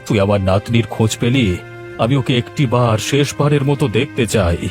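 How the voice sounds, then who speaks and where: A man's voice: medium pitch, a little fast, music in the background, close to an everyday voice. A man voicing a sick old grandfather in a cartoon, sorrowful, longing and lamenting. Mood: weak, mournful. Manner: mournful, lamenting. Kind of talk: cartoon voice acting.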